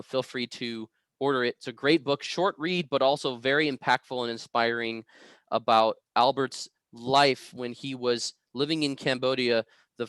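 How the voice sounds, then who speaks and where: A man from the United States hosting an informal online interview as the interviewer, speaking in a halting, hesitant way. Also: medium pitch, fairly bright tone, clear, resonant tone, dry recording.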